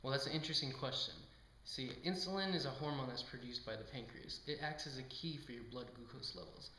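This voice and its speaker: A man's voice: mid-range voice, quick pace, bright, forceful tone, slight background noise, lightly acted. A man playing a reassuring endocrinologist who explains things in a scripted educational interview. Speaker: doctor being interviewed. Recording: echoey room.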